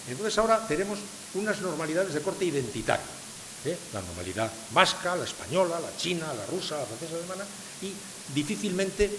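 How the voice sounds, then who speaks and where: An older man from San Sebastián, Spain, giving a public lecture to a live audience, asking rhetorical questions and stressing his points. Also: a little high. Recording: reverberant hall with faint hiss.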